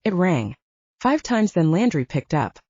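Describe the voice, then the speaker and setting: Mellow woman narrator, animated pitch, dull audio. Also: unhurried, no echo.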